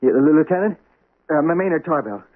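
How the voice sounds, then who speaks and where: A man's voice: fairly high pitch, expressive, fairly quick, slightly rough. An actor playing a nervous husband who stammers and stumbles over his words in a radio drama. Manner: stammering, jittery. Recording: tinny old radio broadcast recording.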